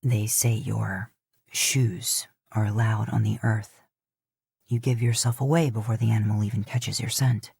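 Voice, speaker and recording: A woman voicing a patronizing young male warrior, a chief's son, in an audiobook, speaking in a measured way. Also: a bass voice, fairly even intonation, vocal fry, a little mellow, husky, dry recording, studio quality, voice pushed down, exaggerated voice.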